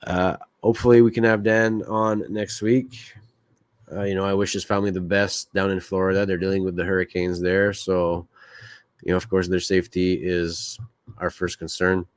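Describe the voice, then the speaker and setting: A man's voice: sits low, little pitch movement, normal speed, clear, resonant tone, no room sound. A man from Quebec hosting a podcast, talking candidly and rambling with fillers. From Quebec, Canada. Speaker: podcast host. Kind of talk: casual solo podcast talk.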